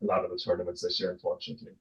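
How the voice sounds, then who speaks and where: A man's voice: low voice, completely flat delivery, racing. A middle-aged man with an Irish accent, answering casually as an interview guest. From Ireland, raised in County Tipperary. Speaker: interview guest, tennis tournament director. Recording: roomy echo, dull lo-fi sound.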